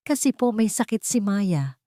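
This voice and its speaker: A woman voicing a scared, tearful little girl who speaks in halting, broken words in a story. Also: medium pitch, animated, warm tone, no echo, clean studio sound, voice pushed up, close to an everyday voice.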